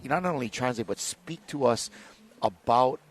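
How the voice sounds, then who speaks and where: A man's voice: medium pitch, measured pace. Enthusiastic male race commentator from Hawaii. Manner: warm, enthusiastic storytelling between race calls.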